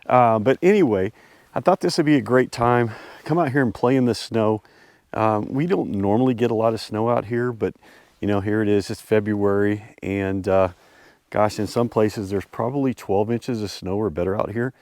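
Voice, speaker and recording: A man hosting a vlog outdoors, a bit congested and hoarse from a cold, rambling casually with lots of fillers. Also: on the low side, moderate pace, a little mellow, no echo. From US Pacific Northwest. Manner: rambling, many fillers and restarts. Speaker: vlog host reviewing his truck. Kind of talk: casual talk to camera. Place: outdoors at a snowy off-road area.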